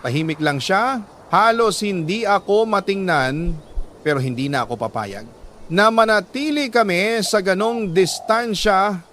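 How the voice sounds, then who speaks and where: Higher-pitched Filipino man narrating dramatically. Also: lively intonation, a little slow, a bit bright, a little hiss or hum. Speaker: storyteller reading a listener's letter. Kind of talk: dramatic scripted story narration.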